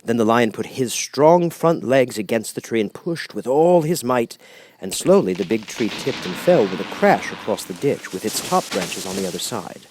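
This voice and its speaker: A man reading a children's storybook aloud as an expressive audiobook narrator. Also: medium pitch, normal speed, noisy background.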